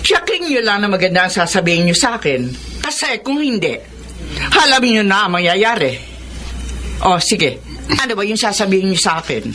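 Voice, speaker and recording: A woman's voice: mid-range voice, expressive, moderate pace, sharp tone. A woman acting as a stern, domineering middle-aged Filipino mother in a radio drama, with a haughty, scolding and volatile delivery. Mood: sarcastic, suspicious. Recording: faint background noise.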